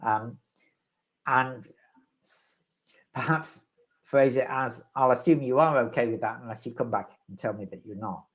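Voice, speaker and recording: A man's voice: mid-range voice, unhurried, pauses often. A man answering questions as the resident expert in a live webinar, rambling and wry, with self-deprecating humor.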